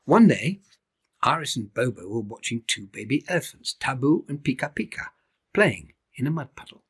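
A man in his seventies reading a children's storybook aloud in an expressive, theatrical way. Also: medium pitch, normal speed, vocal fry, husky. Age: 70s. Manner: theatrical, animated delivery with hushed asides. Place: indoors, small timber-beamed room at home.

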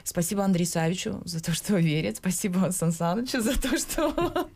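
A woman's voice: lowish voice, animated, moderate pace, a little mellow. A woman in her thirties, a stage actress guesting in a small studio, talking hesitantly with many fillers and restarts. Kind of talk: live radio and TV studio interview. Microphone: studio boom mic.

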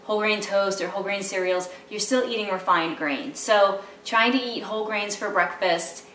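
A woman's voice: mid-range voice, normal speed, fairly bright tone. Middle-aged woman, home cooking host, chatty how-to delivery, picked up from across an echoey kitchen. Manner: chatty, instructive, fillers and asides. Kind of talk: casual cooking demonstration. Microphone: camera mic from across the room. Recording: roomy, distant camera audio with light background noise.

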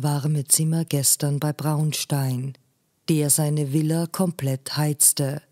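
A woman narrating a literary story in a measured way, phrase by phrase. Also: sits low, dark tone, no echo, clean studio sound. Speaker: audiobook narrator.

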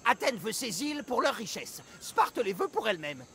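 Fierce rebel, gravelly, forceful high-pitched voice, defiant, video game dialogue. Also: male voice, big pitch swings, normal speed, slight background noise, exaggerated voice. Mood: vehement. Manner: vehement, defiant. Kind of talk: French game dub dialogue.

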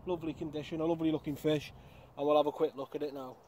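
A man's voice: on the high side, level tone, racing, warm tone, a little hiss or hum. A young man chatting casually about the fish he catches, recorded outdoors on a pond bank with an action camera nearby. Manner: chatty, upbeat, with frequent fillers. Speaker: angler vlogger. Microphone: action camera mounted nearby.

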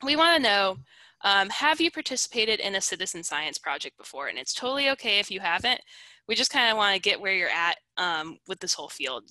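A woman's voice: medium pitch, sharp tone, no echo, poor audio. A woman in her thirties hosting a webinar on a video call through a headset mic, bubbly and encouraging as she guides the audience. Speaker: webinar host. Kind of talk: upbeat webinar moderation.

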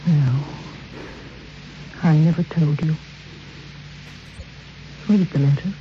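An actress playing a betrayed woman in an old radio drama, intense and grief-stricken, speaking in short halting phrases. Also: sits low. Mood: cold, accusing. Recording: muffled, lightly hissy old radio recording.

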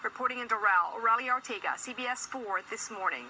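A woman's voice: a little high, fairly quick, sharp tone, breathy edge. An American woman narrating a scripted television news report. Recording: faintly noisy replayed TV news audio.

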